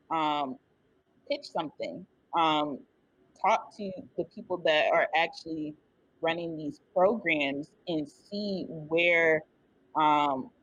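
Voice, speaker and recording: A woman on a discussion panel with a husky, breathy voice. She speaks softly and hesitantly and leans on "um"s, and her audio comes through muffled and lo-fi. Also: deep voice. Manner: soft-spoken, hesitant with fillers.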